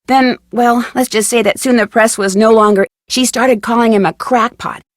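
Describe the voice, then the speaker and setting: A woman's voice: fairly high pitch, fairly even intonation, moderate pace, dry recording, clean studio sound, natural voice. A woman playing a plucky, wry young amateur detective, chattily narrating her letters in a video game. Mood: wry, chatty. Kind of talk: scripted game narration, reading letters aloud.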